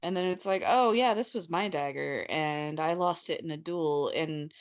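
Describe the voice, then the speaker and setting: Woman, slightly low voice, casual book discussion, co-host, blunt, emphatic. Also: moderate pace. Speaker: podcast co-host.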